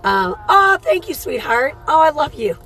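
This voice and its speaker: A woman with a hoarse voice hosting a casual live morning chat. She reads cards for people, talks chattily and calls her viewers sweetheart. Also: sits high, sing-song, measured pace, bright, forceful tone, faint music behind. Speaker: host and card reader.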